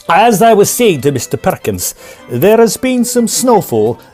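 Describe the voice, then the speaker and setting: A man's voice: sits high, sing-song, takes it slowly, darkish tone, faint music behind, exaggerated voice. A man playing a talkative, reassuring and polite Victorian train conductor in a comic audio drama. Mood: cheerful reassurance. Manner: long-winded, polite. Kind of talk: period farce audio drama.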